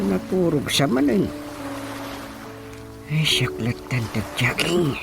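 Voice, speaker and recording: An excitable, bumbling village official in a radio comedy, with an Ilocano accent. He mutters and stammers slowly in wildly varied pitch over a clear music bed. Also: exaggerated voice. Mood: grumbling, puffing. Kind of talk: comedic radio drama acting.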